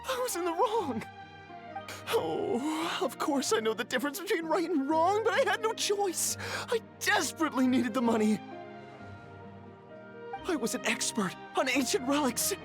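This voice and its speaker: A man's voice: very high voice, animated, normal speed. Middle-aged male con man, game character, whining, pleading, stammering, swooping intonation, music underneath. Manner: theatrical, whiny, stammering. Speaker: voice actor in a game. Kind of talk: dramatic game voice acting. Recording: music behind the voice.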